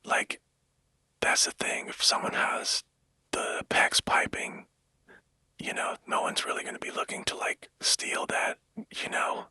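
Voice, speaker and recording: Male whisperer for an unscripted monologue: breathy, hissy-bright, hesitant and casual, recorded very dry. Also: measured pace, dry recording. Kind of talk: whispered rambling monologue, like soft-spoken ASMR.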